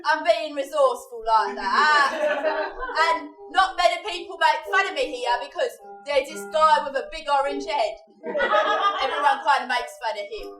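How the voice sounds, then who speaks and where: A woman plays an eager, naive puppet child with a high voice. The kid sounds simple, earnest and giggly, in an echoey live room with loud music behind. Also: lively intonation, moderate pace. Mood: giddy, proud. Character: eager, naive puppet kid Gerald, proud hot-dog lover. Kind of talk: improvised puppet comedy letter.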